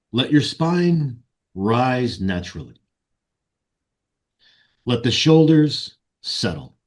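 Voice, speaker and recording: A man leading a guided meditation and ceremonial invocation in solemn, deliberate short phrases. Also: medium pitch, very animated. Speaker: meditation guide and ritual leader.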